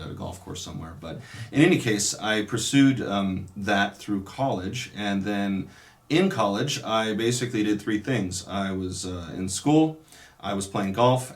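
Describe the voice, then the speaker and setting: Deep-voiced man, reflective storyteller. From California. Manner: reflective, unhurried, many pauses and restarts. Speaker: Dominican priest. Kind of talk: casual interview, telling his life story.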